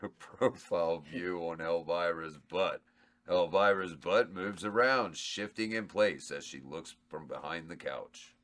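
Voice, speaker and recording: A man's voice: low-pitched, a little slow, a bit bright. A man reading stage directions aloud at a table read, laid-back and chuckling. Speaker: script reader and cast member.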